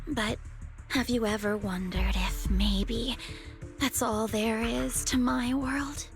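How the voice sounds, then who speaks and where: A slow, bitter, grieving young woman. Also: on the high side, fairly even intonation, soft background music. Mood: anguished, resentful. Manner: measured, wounded, accusatory. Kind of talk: dramatic video game voice acting.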